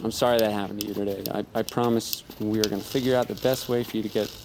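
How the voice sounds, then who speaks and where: An actor playing a middle-aged, protective, gently teasing father in a naturalistic audio drama. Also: on the low side, fairly even intonation, fast, noisy background, natural voice. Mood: affectionate, placating.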